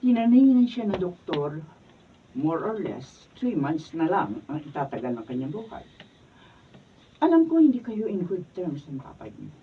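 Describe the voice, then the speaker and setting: A blunt lawyer who delivers sharp, scolding rebukes, as a woman acts her out. Her voice sits low and husky and swings widely in pitch. It comes through thin and phone-like, from back off the mic. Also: big pitch swings, a little slow, slight background noise. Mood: earnest. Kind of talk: radio drama acting, read from script. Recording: tinny, phone-like, mic from a distance.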